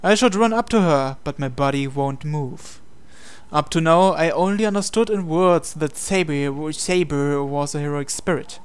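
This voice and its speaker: A man reads visual novel narration aloud as a gaming host. His voice sits a little high for a man and is very clean and smooth. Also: moderate pace.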